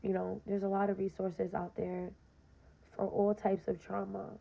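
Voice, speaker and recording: A young woman with a raspy voice, hosting her podcast and speaking earnestly and directly to listeners in a casual personal monologue, often saying "um" and "you know". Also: medium pitch, completely flat delivery, moderate pace, dark tone. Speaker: podcast host. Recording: dull lo-fi recording.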